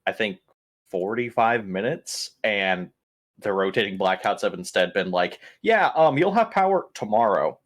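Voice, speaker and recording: Fairly low-voiced man chatting as player. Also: animated, measured pace. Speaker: roleplaying game player. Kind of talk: casual chat.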